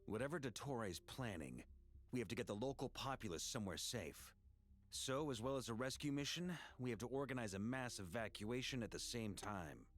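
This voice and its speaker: A man's voice: medium pitch, animated, a little fast, lightly acted. An actor playing an earnest, resolute man with formal speech in a fantasy game. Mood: grave, resolute.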